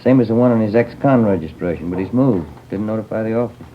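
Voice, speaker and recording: A man's voice: lowish voice, quick pace, slight background noise, lightly acted. A man playing a businesslike police detective in a 1950s radio drama. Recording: dull old radio recording.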